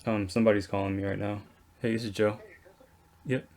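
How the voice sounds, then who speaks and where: Quick-talking man with gravelly fry. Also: fairly low pitch, flat delivery, darkish tone. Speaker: real estate wholesaler negotiating on calls. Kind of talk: casual business phone negotiation.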